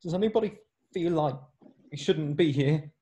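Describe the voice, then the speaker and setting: A man's voice: a little high, normal speed, mellow, a little hiss or hum, close to an everyday voice. A man playing an eager, easily alarmed young schoolboy wizard in an amateur table read. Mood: uneasy.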